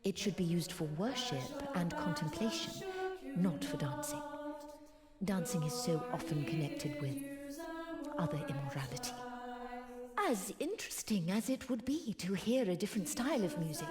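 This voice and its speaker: A solemn, expressive nun, echoing. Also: female voice, lowish voice, moderate pace. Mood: prim, disapproving. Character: devout, single-minded nun, choir leader mourning a sister. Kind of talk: voiced video game dialogue. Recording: long hall reverb with loud music.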